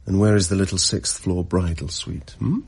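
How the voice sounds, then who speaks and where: A man's voice: deep voice, fairly even intonation, normal speed, a little mellow, slight background noise. A man playing a suave, teasing seducer in a radio drama, with an arch, drawling manner. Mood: wry, insinuating.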